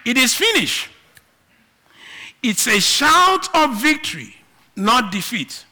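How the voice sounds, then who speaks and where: Nigerian-accented preacher, bright voice. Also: male voice, extremely high pitch, sing-song, takes it slowly. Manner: swings between loud emphatic declarations and quiet asides. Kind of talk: sermon.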